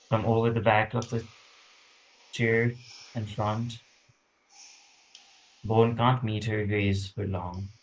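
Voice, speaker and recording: A man's voice: on the low side, slight background noise, low-quality recording. A man reading stage directions aloud in scripted screenplay narration.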